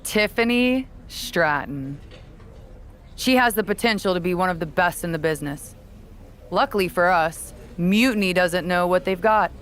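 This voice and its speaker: A woman playing a calculating veteran wrestler in a video game cutscene, talking in a cool, condescending, measured way. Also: fairly low pitch, a little hiss or hum, close to an everyday voice. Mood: haughty.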